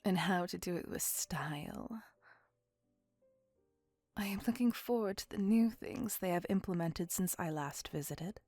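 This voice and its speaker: Breathy, slow-spoken elegant vampire woman, acted out by a woman. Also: lowish voice, dry recording, soft background music. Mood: refined, reassuring. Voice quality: breathy, soft, half-whispered. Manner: formal, archaic, measured phrasing with tag questions. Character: elegant, courtly, possessive centuries-old female vampire on a date. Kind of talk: ASMR roleplay, soft intimate monologue.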